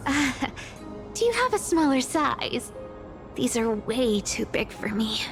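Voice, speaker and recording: Scrappy young thief woman, highish lively voice, unhurried, cheeky game dialogue, music behind. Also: exaggerated voice. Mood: teasing. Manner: brash, sardonic, playful. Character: young female thief, brash, sly, scruffy, impatient. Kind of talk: video game voice acting.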